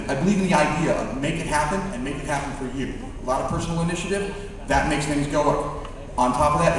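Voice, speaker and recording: A man giving an informal, emphatic campaign speech to an audience as a self-assured student candidate. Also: on the high side. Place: large reverberant room at a public forum. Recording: echoey hall from far off, audience noise.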